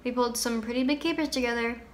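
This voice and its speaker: Grieving, candid young girl, acted by a woman, higher-pitched, wistful conversational stage monologue, slightly noisy amateur recording. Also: natural voice. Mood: fond, playful. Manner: conversational, wistful storytelling with pauses. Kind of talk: dramatic monologue.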